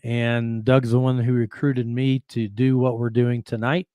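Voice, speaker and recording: A man hosting a talk show, speaking in a friendly, halting way with many restarts. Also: medium pitch, level tone, mellow, smooth, no room sound, clean studio sound. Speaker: podcast host. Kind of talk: casual interview chat.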